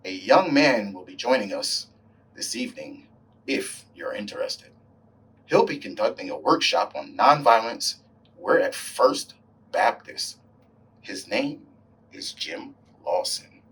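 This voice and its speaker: A man voicing an earnest older civil rights veteran recalling his youth in deliberate storybook narration. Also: on the low side, very animated, a bit bright, husky, recorded from a distance, exaggerated voice, low-quality recording. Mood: announcing.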